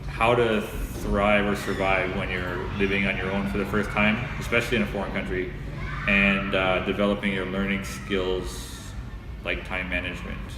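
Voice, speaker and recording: A man answers interview questions in a measured, explanatory way. His voice is very low and stays quite flat. The room is echoey and reverberant, with noise behind him.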